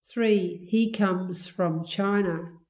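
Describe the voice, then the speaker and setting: Very slow woman reading test items. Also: mid-range voice, steady tone, darkish tone. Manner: careful, clear enunciation. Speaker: listening test reader.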